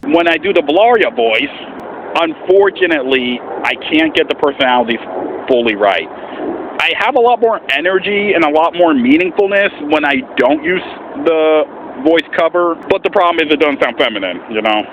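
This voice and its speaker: A man talking casually and earnestly in a vlog as an amateur voice actor, with an animated, swooping delivery. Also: fairly high pitch, moderate pace. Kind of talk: casual vlog explanation with voice demonstrations. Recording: muffled, faint background noise.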